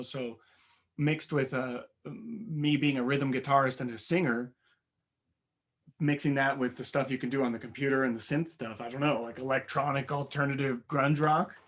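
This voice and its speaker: Raspy young man, modest and rambling. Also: mid-range voice. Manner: modest, rambling, many fillers and restarts. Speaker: interview guest, musician. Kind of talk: casual interview chat.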